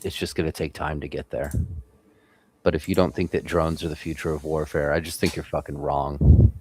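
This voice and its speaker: A man's voice: extremely low pitch, little pitch movement, normal speed, darkish tone, a little hiss or hum. A man hosting a live trading stream, talking in casual, profane banter. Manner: profane, laid-back banter.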